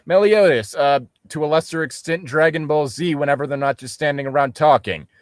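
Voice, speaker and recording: A man's voice: fairly high pitch, fairly quick, slight background noise. A man co-hosting a casual comedy reading, reading aloud and breaking off into sarcastic, sweary tangents. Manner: sarcastic, swears freely, goes on mocking tangents. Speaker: co-host reading a fan fiction aloud and mocking it. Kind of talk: casual comedic commentary between read-aloud passages.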